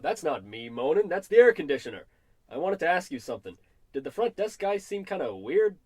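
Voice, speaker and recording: Male actor for jumpy salesman sidekick, radio comedy spoof. High, very animated, exasperated, dry close sound. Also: a little fast, breathy edge, no room sound. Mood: worried, uneasy. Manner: anxious, exasperated. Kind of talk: parody old-time radio comedy acting.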